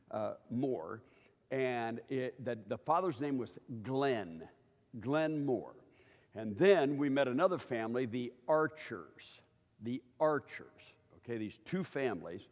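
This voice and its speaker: Older male preacher, conversational teacher, mid pitch, recorded with long church-hall reverb. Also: a little mellow. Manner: chatty, keeps checking in with listeners.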